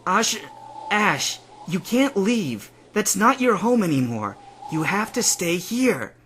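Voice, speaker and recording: A man's voice: high-pitched, very animated, slow pace, fairly bright tone, slight background noise, pitched above the performer's own voice, exaggerated voice. A man voicing an exasperated little male Mew creature in a story, pleading wearily. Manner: groaning, weary pleading.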